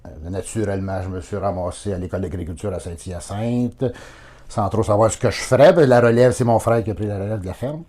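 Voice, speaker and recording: A man's voice: fairly low pitch, fairly quick, warm tone. A man in his fifties with a Québécois accent, a town mayor talking casually and plainly as an interview guest. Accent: Québécois French. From Quebec. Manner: plain-spoken, folksy, with frequent fillers.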